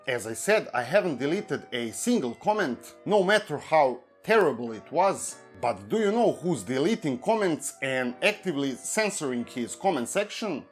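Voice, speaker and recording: A man with a Serbian accent reads a prepared commentary script, steady and measured, at a middling pitch. Soft music plays faintly underneath his voice. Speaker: YouTube commentary host. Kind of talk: scripted commentary read aloud.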